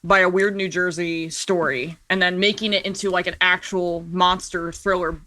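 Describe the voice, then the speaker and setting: A woman speaking as a guest in a casual interview, explaining things loosely with halting asides that trail off. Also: lowish voice, steady tone, bright, forceful tone. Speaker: podcast guest and filmmaker.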